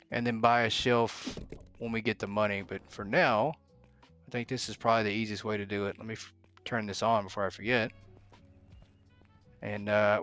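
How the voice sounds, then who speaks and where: A man's voice: fairly low pitch, fairly bright tone. Man thinking aloud while streaming a game. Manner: thinks aloud, mutters to himself. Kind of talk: live gameplay commentary.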